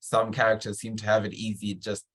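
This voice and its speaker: A man from Zimbabwe hosting a conversational interview, effusive and a little halting as he builds his questions. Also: lowish voice, monotone, a bit bright.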